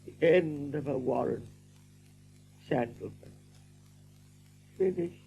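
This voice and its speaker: An actor voicing an emotional warrior rabbit in an audio drama, halting and swinging from murmur to outburst. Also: male voice, a little high, vocal fry, mellow, slight background noise, exaggerated voice. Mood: anguished, grieving.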